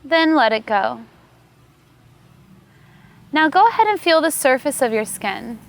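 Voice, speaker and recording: Yoga teacher lady w a pretty high voice, calm n soothing, talking you thru a meditation. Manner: calm, soothing, unhurried instructing. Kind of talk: guided meditation instruction.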